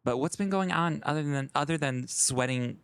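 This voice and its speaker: An American man co-hosting a podcast in casual banter, chatty and expressive, with a campy twang in his voice. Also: a little high, moderate pace, clear, resonant tone, no echo. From Chicago, USA. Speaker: podcast co-host.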